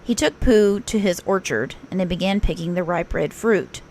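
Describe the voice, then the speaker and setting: A woman narrating a children's story aloud in an expressive read-aloud style, like a teacher. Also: on the low side, normal speed, slight background noise.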